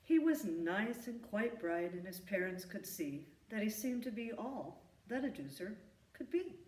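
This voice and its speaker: A woman reading a rhyming children's story aloud in an expressive, emphatic storytelling manner. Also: medium pitch, measured pace. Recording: echoey room, distant from the mic.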